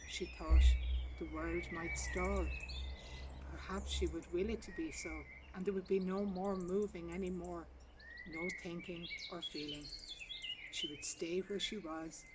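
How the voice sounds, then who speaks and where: A woman with a lowish voice reads a literary story in a measured, sombre way. She is outdoors in a garden, a little far from the mic, with clear birdsong throughout. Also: heavy background noise.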